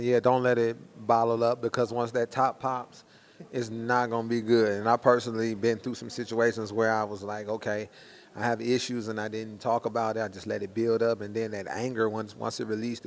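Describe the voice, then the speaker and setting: A man's voice: on the low side, gravelly. An American man doing casual promotional interviews in a slangy, hyped, conversational way.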